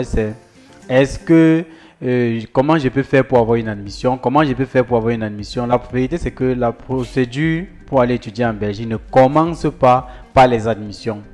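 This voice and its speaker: A man's voice: mid-range voice, darkish tone. A man advising students on study procedures, speaking directly to viewers, repeating key points with emphasis. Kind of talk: explanatory talk to camera.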